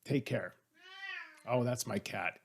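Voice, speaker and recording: A man's voice: medium pitch, slow, slight background noise. A man speaking casually and off the cuff as an author presenting a trivia question. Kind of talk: casual, off-the-cuff talk to camera.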